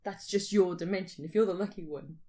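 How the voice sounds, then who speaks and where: A woman's voice: lowish voice, fairly quick, mellow. A woman co-hosting a podcast in casual, teasing, informal conversation. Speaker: podcast co-host.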